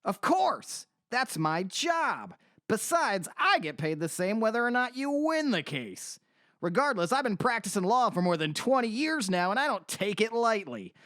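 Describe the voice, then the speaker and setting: A man's voice: sits high, sing-song, moderate pace, fairly bright tone, no echo, clean studio sound. A man playing a formal, polite, slightly hesitant middle-aged defense lawyer in an audio drama. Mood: assured, earnest.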